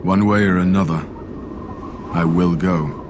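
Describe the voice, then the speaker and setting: An actor playing a headstrong, vengeful young warrior god in a video game, with a forceful, declamatory delivery. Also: male voice, low-pitched, steady tone, a little slow, background noise. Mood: grim determination. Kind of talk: dramatic video game cutscene acting.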